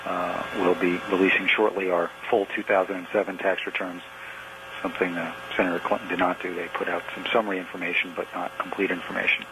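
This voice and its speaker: Male political spokesman on a press call. Deep voice, halting delivery, clearly noisy phone line. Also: little pitch movement. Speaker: political campaign manager. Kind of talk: answering press questions on conference call. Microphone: telephone.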